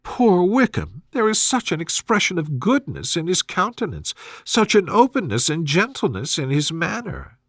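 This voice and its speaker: A gentle, soft-hearted young gentlewoman from a classic storybook, as a man reads her. She pleads earnestly and pityingly in formal phrases, her pitch high and animated. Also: normal speed, few pauses, dry recording, studio quality, exaggerated voice. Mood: wistful. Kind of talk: storybook character reading.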